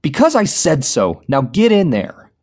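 Male reader doing a foul-mouthed, barking bank robber; lively, swinging pitch. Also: a little slow, heavily acted. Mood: curt. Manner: shouting, vulgar, commanding. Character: violent male bank robber yelling orders.

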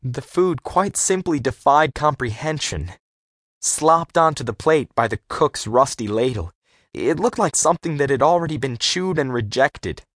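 A man with a medium-pitched voice tells a first-person adventure story. He reads expressively and articulates clearly, in a very dry studio sound suited to a young readers' audiobook. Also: lively intonation, moderate pace, a bit bright, no echo. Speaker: audiobook narrator.

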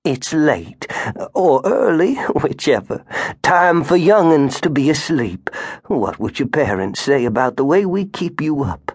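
A man's voice: on the high side, very animated, measured pace, breathy edge, no echo, pitched above the performer's own voice, exaggerated voice. A man reading an audiobook, voicing a stern but loving older grandmother who runs a tavern, scolding firmly. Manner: scolding, firm, affectionate. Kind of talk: audiobook character voice.

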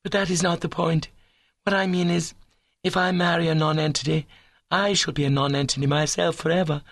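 Man voicing a haughty, high-pitched young lady. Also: fairly even intonation, fairly quick, fairly bright tone, dry recording, clean studio sound. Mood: disdainful. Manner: earnest, prim, scornful. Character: young woman, ambitious, haughty, soulful literary snob. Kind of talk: comic short-story reading.

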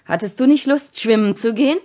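A woman's voice: on the high side, brisk, a little hiss or hum, lightly acted. A woman playing a strict but fond, moralizing aunt in a German children's audio drama. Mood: suspicious, probing. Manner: scolding, moralizing, expressive.

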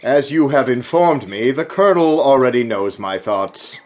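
An actor playing a cynical, resentful cavalry captain in a radio drama, terse and formal. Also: male voice, mid-range voice, animated, moderate pace, darkish tone, lightly acted. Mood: coolly critical.

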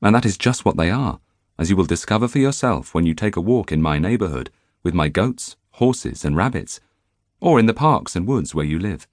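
A man narrating a nonfiction audiobook in an expressive storytelling manner, close to the microphone in a studio. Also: low voice, moderate pace, no room sound. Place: dry recording studio.

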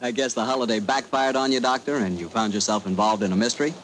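A man's voice: mid-range voice, fast, a little hiss or hum, poor audio. A man announcing in 1940s radio style, folksy and salesman-like.

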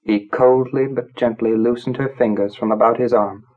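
A man narrating a literary story from a script, in a measured, grave, dramatic storytelling manner. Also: medium pitch, steady tone, slightly rough, phone-quality sound. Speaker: audiobook narrator.

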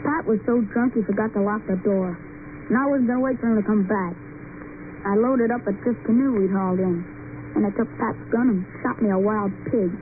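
Radio drama role: performer as a wary, stubborn country boy, homespun and plain, heard through a thin, clearly noisy old recording. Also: medium pitch, narrow, tinny audio, lightly acted. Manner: plain, folksy, unschooled speech. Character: plain-spoken backwoods boy, young, wary but stubborn. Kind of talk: old-time radio drama acting and first-person narration.

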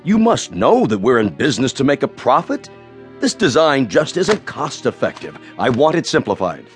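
An actor playing a stern, impatient company boss in a radio drama, curt and authoritative. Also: male voice, medium pitch, very animated, normal speed, soft background music, natural voice.

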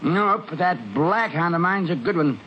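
An actor playing a folksy farmer with a rural Texan accent in a 1950s radio western. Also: male voice, fairly high pitch, expressive, moderate pace, highs cut off, natural voice. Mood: earnest. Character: excitable, folksy farmer, proud hound owner. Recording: hissy old radio recording.

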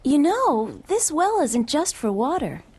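Young Old West sweetheart, high pitch, playful, sweet, teasing, audio drama. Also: female voice, huge pitch swings, a little slow, a little mellow, full character voice. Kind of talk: western audio drama dialogue.

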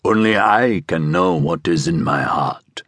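A man's voice: low-pitched, measured pace, fairly bright tone, no room sound, clean studio sound, pitched below the performer's own voice. Man voicing a guttural, commanding older Egyptian man. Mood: cool, cryptic. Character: Nahor, strong, commanding older man with magnetic menace.

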